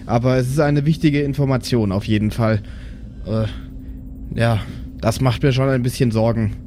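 Guy playing pen and paper, soft dark tone, casually reasoning out loud, slight noise behind. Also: mid-range voice, steady tone, normal speed. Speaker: player in a tabletop role-playing show. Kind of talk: casual improvised play, thinking aloud.

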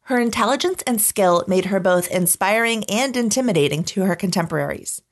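A woman hosting a podcast, reading scripted narration in a measured, articulate storytelling manner. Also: mid-range voice, animated. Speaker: podcast host. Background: background music.

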